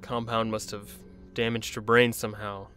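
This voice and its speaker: An actor playing a driven, commanding research doctor in an audio drama, with a clinical way of talking. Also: male voice, fairly low pitch, level tone, moderate pace, fairly bright tone, soft background music, close to an everyday voice. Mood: measured, clinical.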